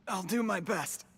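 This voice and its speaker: A man's voice: high voice, creaky, sharp tone, a little hiss or hum, exaggerated voice. A man playing a timid, choking drinker who struggles to speak, in a video game style. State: choking, coughing. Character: timid young male drinker struggling with strong liquor.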